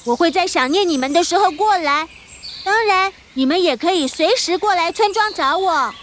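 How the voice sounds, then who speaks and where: Earnest wolf-raised boy played by a woman, very high, for a storybook cartoon; faint background noise. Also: animated, moderate pace, fairly bright tone. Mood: caring, earnest. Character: Mowgli, earnest human boy raised by wolves. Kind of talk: children's storybook cartoon acting.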